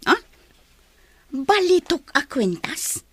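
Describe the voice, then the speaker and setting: An actress with an Ilocano accent playing a nosy, deferential household maid in a radio drama. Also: female voice, sits high, big pitch swings, takes it slowly, fairly bright tone, no room sound, exaggerated voice. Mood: surprised, curious.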